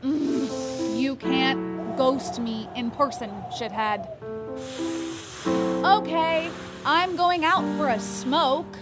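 A woman's voice: sits high, very slow, loud music behind the voice, heavily acted. A woman playing an exasperated, blunt, foul-mouthed young girlfriend in an audio drama. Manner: profane, exasperated.

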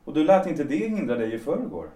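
Imagine a young man voicing an evasive lover who hedges and speaks softly, with a Swedish accent. His voice is warm and dark, and it sits back from the mic in a roomy space. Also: on the high side, sing-song, recorded from a distance. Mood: hesitant. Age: young adult. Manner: hesitant, soft, evasive. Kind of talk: Swedish audio drama acting.

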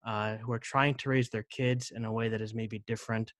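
A man's voice: on the low side, level tone, brisk, fairly bright tone, no echo. A man hosting a podcast, talking in a friendly, chatty way with many fillers. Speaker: podcast host. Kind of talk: casual interview chat.

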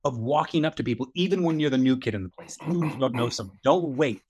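A man's voice: medium pitch, animated, quick pace, darkish tone, slightly rough. Earnest man giving encouraging advice. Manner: earnest, encouraging, repeats himself for emphasis. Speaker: podcast co-host. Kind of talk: casual advice chat.

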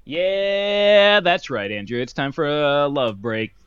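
A man's voice: fairly high pitch, animated, slow, a bit bright, clear, resonant tone, no room sound. Jokey rambling man, dull dry sound. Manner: jokey, rambling, many fillers and restarts. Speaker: podcast co-host. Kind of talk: casual banter over a board game.

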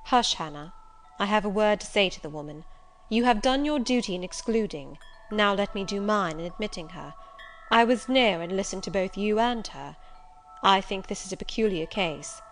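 Woman voicing grave, commanding, composed gentleman. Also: mid-range voice, expressive, moderate pace, slight background noise, natural voice, low-quality recording. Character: calm, authoritative gentleman, grave and measured. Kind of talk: audiobook character voice.

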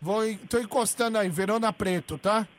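A man's voice: sits high, moderate pace. A man, hosting a radio show, teasing and provoking a stranger in a prank call. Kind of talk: prank phone call and studio chat.

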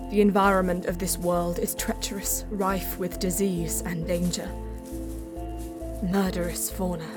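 A woman playing a solemn, guarded alien explorer in a science fiction audio drama, speaking softly and with deliberate care. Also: medium pitch, close to an everyday voice. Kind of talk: science fiction audio drama, poetic first-person narration. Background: music.

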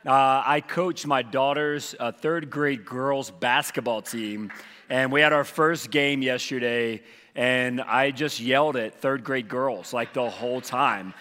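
A man preaching as lead pastor, hoarse from losing his voice, talking casually with many fillers. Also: mid-range voice, slow pace, bright, forceful tone, in a large hall. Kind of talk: sermon with announcements. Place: church auditorium.

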